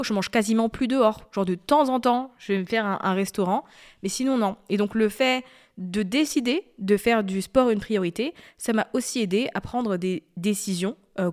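A woman's voice: medium pitch, darkish tone. A woman in her thirties hosting a solo podcast, talking in a chatty, confiding way that drifts off topic. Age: 30s. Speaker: podcast host. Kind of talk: casual solo podcast talk.

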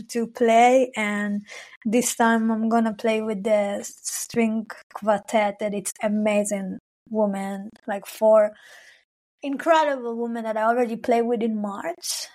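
Young woman singer, not an english speaker, rambly and laughy, lots of likes. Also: fairly high pitch. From Israel-Palestine. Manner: warm, searching for words, frequent "like" and "you know", laughs often. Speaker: singer, guest. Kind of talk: casual conversational interview.

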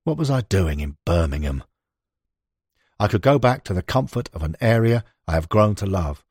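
Husky low-voiced man narrating a book. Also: a little mellow, no echo, studio quality. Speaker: audiobook narrator. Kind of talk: scripted narration of a non-fiction football book.

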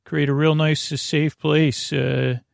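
A man hosting a sleep podcast with a meandering bedtime monologue in a creaky voice, hesitant and full of tangents and filler. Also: on the high side, little pitch movement, takes it slowly, no room sound, studio quality. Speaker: podcast host. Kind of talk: rambling bedtime monologue to lull listeners to sleep.

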